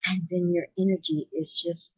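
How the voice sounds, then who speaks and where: Candid woman vlogger, husky webcam audio. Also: fairly low pitch, level tone, normal speed, no echo, lo-fi sound. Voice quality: loud voice. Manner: candid, earnest, sharing personal experience. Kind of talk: personal video talk.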